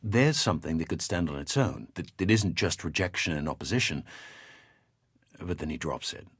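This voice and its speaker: Thoughtful researcher, low expressive voice. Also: male voice, normal speed, slightly rough, no room sound, studio quality. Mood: reflective. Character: thoughtful male researcher reading quotations aloud and discussing them. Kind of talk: audio drama reading and conversation.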